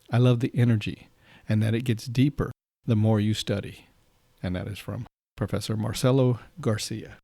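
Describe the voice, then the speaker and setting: A man's voice: fairly low pitch, dark tone, no echo, clean studio sound. A man hosting a podcast, reading a scripted intro and then chatting with his guest in a friendly way with fillers. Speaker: podcast host.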